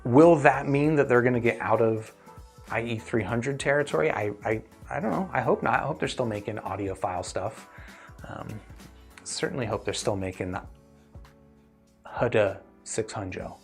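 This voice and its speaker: A man hosts a relaxed, conversational livestream in a medium-pitched voice. He talks in a thoughtful, explanatory way, qualifying his opinions as he answers. Music plays faintly behind him. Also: moderate pace, gravelly. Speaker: host reviewing audio gear and answering viewer questions. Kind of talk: casual livestream Q&A commentary.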